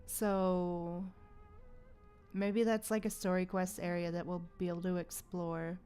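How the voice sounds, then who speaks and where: A woman's voice: lowish voice, flat delivery, measured pace, warm tone, smooth, faint music behind. A woman livestreaming a game, chatting casually and musing aloud in an informal, slangy way. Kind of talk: casual live stream chat and commentary.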